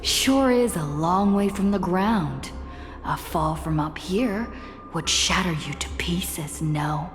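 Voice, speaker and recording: An actress playing a bold, defiant traveling heroine in a video game, speaking in a blunt, dry manner. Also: female voice, fairly low pitch, takes it slowly. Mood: wary, wondering. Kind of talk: dramatic video game voice acting. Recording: long reverb, faint music behind. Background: faint music.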